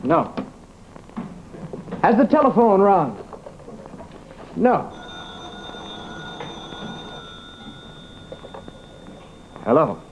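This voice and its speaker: A gallant, rational American doctor from a vintage drama. His voice swings widely in pitch and sits fairly high. The old recording is muffled, with faint noise. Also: heavily acted. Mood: triumphant, challenging. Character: confident, gallant male doctor and rational debunker.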